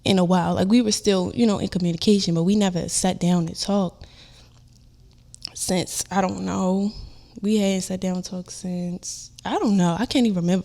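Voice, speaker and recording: Young woman with a cold, stuffy nose, low mellow voice, bit of vocal fry, chatty podcast host. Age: young adult. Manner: chatty, warm, lots of fillers like "um" and "like". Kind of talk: casual solo podcast chat. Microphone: on-camera podcast setup.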